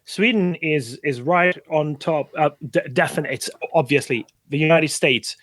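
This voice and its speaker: A man speaking as a podcast guest in casual conversation, halting and hedging as he goes. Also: fairly high pitch, fairly bright tone, dry recording. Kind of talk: casual interview chat.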